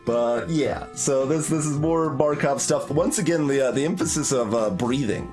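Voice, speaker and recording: A man with a slightly high-pitched voice talks through a game in a casual, analytical way. He thinks aloud, pausing and rephrasing as he works out his ideas, with prominent music playing behind him. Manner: hesitant, thinking aloud, self-correcting.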